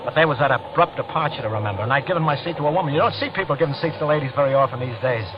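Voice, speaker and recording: A man's voice: a little high, rapid-fire, close to an everyday voice. An actor playing a nervous, scheming repairman with an American accent and a stammering, confiding manner, in a 1940s radio thriller. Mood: tense, confiding. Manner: stammering, jittery, confiding in narration. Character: nervous guilty night-shift teletype repairman, scheming and evasive. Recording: muffled, noisy old radio recording.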